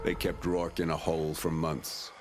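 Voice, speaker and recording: Guy playing a low voiced army commander, solemn, war game cutscene, loud music behind. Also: level tone, moderate pace, lightly acted. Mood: grave, ominous. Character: grave male military commander narrating and briefing soldiers. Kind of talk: cinematic game narration and mission briefing.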